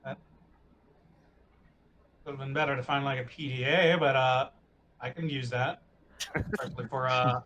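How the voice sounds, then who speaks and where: Male gamer, mid-pitched, with background noise. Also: fairly even intonation, moderate pace, long pauses. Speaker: tabletop game player. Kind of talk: casual in-game discussion.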